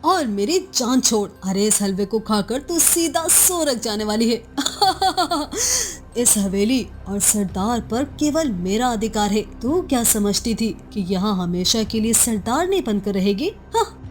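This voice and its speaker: A woman's voice: fairly high pitch, sing-song, slow, faint music behind. A woman playing a scheming, cruel, jealous chieftain's wife in a cartoon moral story, theatrical and slyly coaxing. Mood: gloating.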